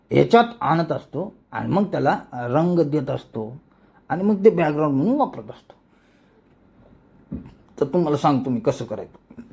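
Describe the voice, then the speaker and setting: Husky man with rural Marathi accent. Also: a little high, warm tone. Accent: rural Marathi of Maharashtra. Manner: informal, colloquial, repeats words while demonstrating. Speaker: animation tutorial creator. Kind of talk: casual step-by-step screen tutorial.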